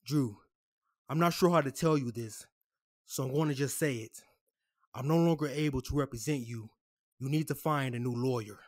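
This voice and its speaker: A man playing a composed, apologetic corporate lawyer in an audio drama, speaking in a measured, formal way. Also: mid-range voice, lightly acted. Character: composed, apologetic corporate defense lawyer delivering bad news.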